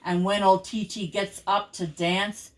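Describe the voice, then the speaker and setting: An older woman, a grandmother, reading children's rhyming poems aloud in an expressive, rhythmic, theatrical way. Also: medium pitch, a little slow.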